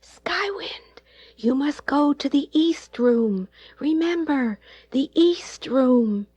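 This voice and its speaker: A woman voicing a tiny, secretive, helpful mosquito in a breathy half-whisper for a bedtime fable. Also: high voice, animated, takes it slowly, darkish tone, no echo, voice pushed up. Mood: urgent, secretive. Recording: dull old cassette transfer.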